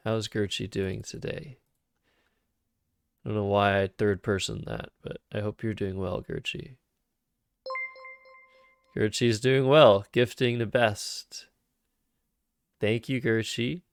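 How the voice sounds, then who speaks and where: Slow, calm man with vocal fry. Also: on the low side, no echo, studio quality. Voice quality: calm, soothing voice. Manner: thinks aloud over moves, soft-spoken and unhurried. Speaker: Scrabble streamer. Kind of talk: live-streamed game commentary. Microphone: cheap microphone.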